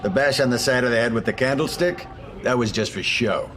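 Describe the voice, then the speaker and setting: A man's voice: medium pitch, fairly quick, fairly bright tone, soft background music, natural voice. A man playing a brusque, impatient police detective in an audio drama, curt and dismissive. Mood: matter-of-fact.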